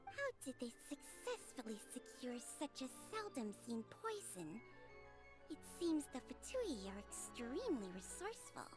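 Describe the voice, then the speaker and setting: An actress voicing a small, smug, arch creature companion in a video game. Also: female voice, high-pitched, big pitch swings, unhurried, over music.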